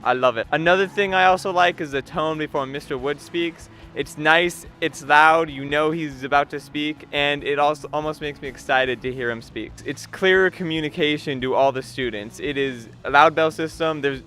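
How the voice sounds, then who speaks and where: A teenage male student answers a vox pop question emphatically, circling back over his points. His voice is very bright and forceful, with faint music behind it. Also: a little high, normal speed, hardly any pauses. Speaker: student interviewee.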